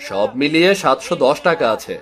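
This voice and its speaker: A man's voice: fairly high pitch, expressive, fairly quick, fairly bright tone. A man voicing a humble, good-natured village farmer and father in a cartoon story. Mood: earnest.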